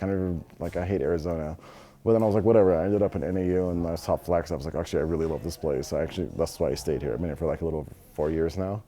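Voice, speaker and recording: Low-voiced, mellow young American man. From Los Angeles, United States. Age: 20s. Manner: blunt, slangy, swearing freely. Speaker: interviewee, business owner. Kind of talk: casual interview answers.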